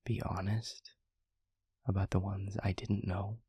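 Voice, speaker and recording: A man playing a gentle, reflective demon mentor in an intimate audio roleplay, speaking softly and haltingly in a low, breathy, gravelly voice. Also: flat delivery, dry recording, full character voice. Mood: tender, sincere. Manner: halting, intimate, soft-spoken with long pauses. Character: gentle reflective male demon steward, fondly confiding.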